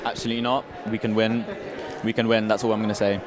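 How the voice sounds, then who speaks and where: A man's voice: fairly low pitch, flat delivery, fast, a crowd in the background. A young man co-hosting a student sports livestream, talking in a matter-of-fact way. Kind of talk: casual sports livestream sign-off.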